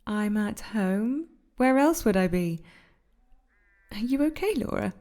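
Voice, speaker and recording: Woman, confused yet eerily composed, evasive, measured, mellow delivery, audio drama. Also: mid-range voice, lively intonation. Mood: detached, vague. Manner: measured, guarded, detached. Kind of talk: naturalistic audio drama acting.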